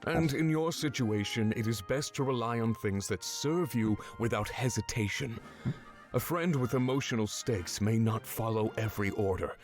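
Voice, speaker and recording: A man playing a grave, remorseful animal warrior mentor in a video game, speaking in a solemn, measured, lecturing way. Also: medium pitch, soft background music, natural voice. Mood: stern lecturing. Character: grave, remorseful male furry warrior mentor, stern and guarded. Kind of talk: scripted video game character acting.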